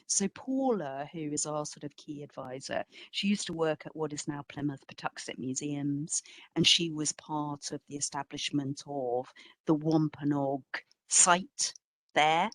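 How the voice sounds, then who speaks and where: A woman's voice: low-pitched, unhurried, fairly bright tone. A woman curator giving an explanatory, storytelling answer in an online talk, with emphatic phrasing. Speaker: exhibition curator, guest speaker.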